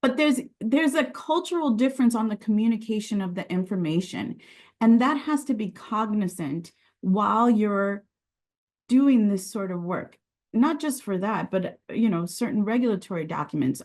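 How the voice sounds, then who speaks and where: A woman whose first language is Sicilian talks in a conversational interview, at a middle pitch. She sounds earnest and reflective and often restarts her phrases. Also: darkish tone. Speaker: podcast guest, regulatory and quality director.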